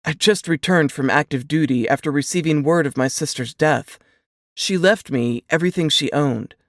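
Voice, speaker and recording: A woman's voice: deep voice, moderate pace, no room sound, studio quality, close to an everyday voice. A woman voicing a brawny young soldier, an insistent and earnest man protective of a baby, in an audiobook. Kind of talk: audiobook character dialogue.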